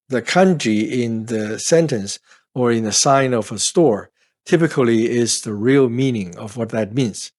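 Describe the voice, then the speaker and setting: A man from Beijing with a Chinese accent, a native Mandarin speaker teaching Chinese to beginners in a casual, conversational way, often checking in with "right?" and "uh". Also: mid-range voice. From Beijing, China. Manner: conversational, frequent "right?" and "uh" fillers. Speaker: Chinese tutor. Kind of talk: casual explanatory lesson.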